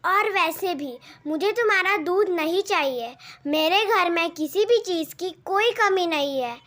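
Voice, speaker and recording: A selfish young village sister from a cartoon folk tale acted by a woman. Her voice is extremely high and childlike, and she speaks slowly with haughty, whiny emphasis. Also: expressive, fairly bright tone, heavily acted. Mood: haughty, dismissive.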